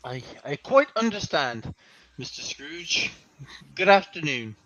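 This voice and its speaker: A man acting as an earnest gentleman charity collector in a radio play. His voice is fairly high, bright and lively, with a courteous manner. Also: takes it slowly, full character voice, rough recording. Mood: polite, subdued. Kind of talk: theatrical radio play reading.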